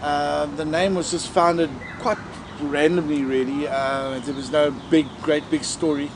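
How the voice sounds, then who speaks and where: South African male drummer, casual and jokey, pitched a bit high, loud noisy background. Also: little pitch movement. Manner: joking, halting with many fillers. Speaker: band drummer, interviewee. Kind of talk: casual interview chat.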